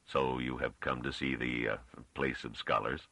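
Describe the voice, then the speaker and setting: A man voicing a haughty, peevish male scholar of high rank in an audiobook, pompous, curt and condescending. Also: big pitch swings, fast talker, gravelly, bright, forceful tone, slightly rough, no room sound, exaggerated voice. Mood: condescending, astonished.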